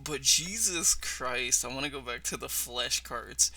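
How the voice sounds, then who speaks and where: A man's voice: mid-range voice, lively intonation, measured pace, a loud crowd. A man giving informal, off-the-cuff reaction commentary, slangy and prone to swearing. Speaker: reaction video host. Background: loud music from the video being watched.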